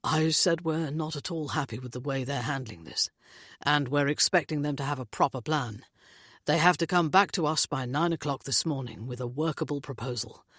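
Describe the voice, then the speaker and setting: A woman voicing a calm male negotiator speaking quietly in an audiobook. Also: sits low, normal speed, breathy edge, dry recording, studio quality. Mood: hushed. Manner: quiet, hushed.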